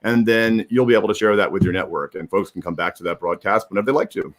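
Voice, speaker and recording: A man's voice: on the low side, fast. A man co-hosting an online live stream, explaining practical details in an informal, unscripted way. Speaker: livestream co-host and producer.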